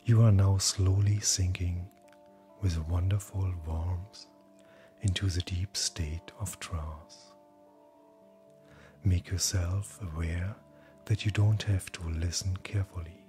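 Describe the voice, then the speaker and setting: Low, slow man guiding sleep hypnosis. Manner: calm, gentle, breathy, often near whisper. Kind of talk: guided hypnosis, soothing scripted narration. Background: soft background music.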